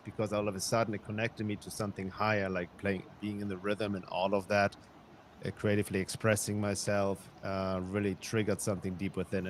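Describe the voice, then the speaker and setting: Low-voiced man from Germany, reflective storyteller. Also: a little hiss or hum. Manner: reflective, with frequent restarts and light laughs. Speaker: guest. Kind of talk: conversational interview storytelling.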